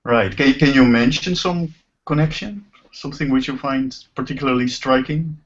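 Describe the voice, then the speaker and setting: A man's voice: mid-range voice, normal speed. Man hosting through muffled call audio. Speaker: professor hosting an online course discussion. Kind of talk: informal hosting of a live video discussion. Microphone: video call.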